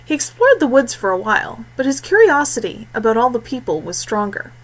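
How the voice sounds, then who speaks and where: A woman narrating a scripted fantasy story in a measured, expressive way with deliberate phrasing. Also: on the high side, poor audio. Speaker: audiobook narrator.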